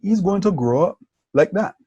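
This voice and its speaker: A man's voice: sits high, expressive, moderate pace, dark tone, slightly rough, dry recording, muffled recording. A man who grew up in Barbados, hosting a podcast in a casual conversation, telling stories animatedly and often checking in with "yeah?" and "right?". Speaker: podcast host.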